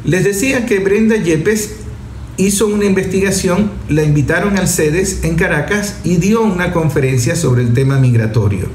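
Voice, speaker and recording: A Venezuelan man hosting a radio program, explaining things to listeners in a deliberate, didactic way with emphatic pauses. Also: sits high, darkish tone. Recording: roomy echo, background noise.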